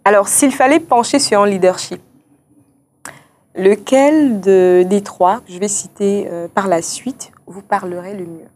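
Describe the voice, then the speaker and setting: A woman hosting an interview, posing structured questions and briskly acknowledging answers. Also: mid-range voice, a little mellow. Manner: brisk, affirming with short acknowledgements. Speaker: interviewer, show host.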